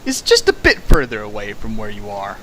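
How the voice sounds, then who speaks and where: A man's voice: lowish voice, huge pitch swings, brisk, fairly bright tone, slight background noise, low-quality recording. A man reading a fan fic aloud for a stream, breaking off into sarcastic comments and sudden sing-song bits. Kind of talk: comedic dramatic reading with riffing.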